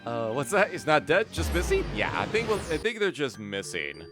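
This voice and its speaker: A man's voice: on the high side, huge pitch swings, normal speed, music in the background. A man hosting a casual game stream, rambling and swearing, often repeating himself, his voice slightly strained. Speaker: livestream host.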